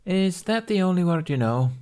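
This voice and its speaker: A man's voice: high voice, animated, moderate pace, darkish tone, voice pushed up. A man voicing a brusque, wary village widow in an audiobook, with curt, folksy, no-nonsense phrasing. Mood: blunt questioning. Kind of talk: audiobook character voice.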